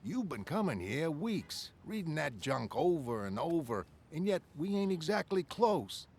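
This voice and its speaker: A man voicing a chatty working-class city street character in a colloquial, animated manner in an animated comic. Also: fairly high pitch, a little slow, vocal fry, slightly rough, voice pushed up, full character voice. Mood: bantering.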